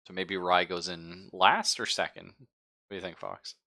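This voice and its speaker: A man with a deep, bright voice acts as game master for a tabletop roleplaying game. His narration is casual and conversational, with joking and swearing mixed in. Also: fairly even intonation, normal speed.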